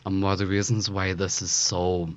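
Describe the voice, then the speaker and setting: Very low-pitched young Belfast speaker, male-sounding voice. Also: level tone, moderate pace, no echo, lo-fi sound. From West Belfast, Northern Ireland. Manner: emphatic, rhetorical repetition. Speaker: interview guest, photojournalist. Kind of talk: earnest personal interview.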